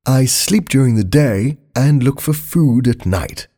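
A man voicing an affectionate grown prince reading out a gentle, formal letter to his mother in a story. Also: medium pitch, animated, measured pace, voice pushed up. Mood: fond, wistful.

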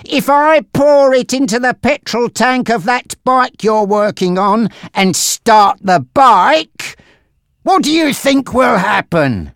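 A narrator voicing a menacing, taunting male gangster boss in an audiobook story, with a threatening, drawn-out delivery. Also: mid-range voice, animated, a bit bright, no echo, studio quality, voice pushed up. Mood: cold threatening.

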